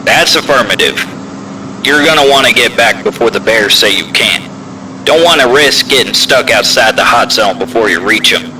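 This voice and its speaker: A man playing a steady, seasoned trucker giving frank, measured advice in CB slang in an audio drama. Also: mid-range voice. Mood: calm, advising. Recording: noisy CB radio in truck cab.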